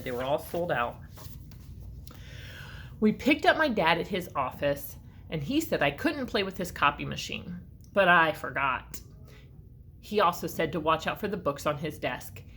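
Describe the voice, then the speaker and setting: A woman reading a children's storybook aloud with expressive emphasis and short asides to her listeners. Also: lowish voice, slight background noise. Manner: expressive, dramatic emphasis on key words.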